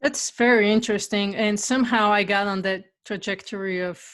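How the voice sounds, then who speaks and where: A woman's voice: mid-range voice, fairly even intonation, brisk, fairly bright tone. A young adult woman from Bulgaria hosting an interview, telling her own story earnestly with fillers. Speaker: podcast host. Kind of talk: casual interview conversation.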